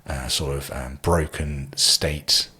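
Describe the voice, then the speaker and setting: A man's voice: very deep voice, steady tone, takes it slowly, bright, forceful tone, husky. A British man hosting a podcast interview, talking in a rambling, hesitant way with many ums. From Britain. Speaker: podcast host. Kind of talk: interview chat.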